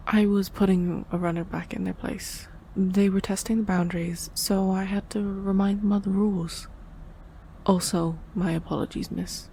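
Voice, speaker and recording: A woman's voice: mid-range voice, little pitch movement, moderate pace, creaky, mellow, a little hiss or hum, lightly acted. A woman playing a tough, cool-headed gang department head in an audio roleplay, speaking matter-of-factly. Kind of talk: scripted audio roleplay acting.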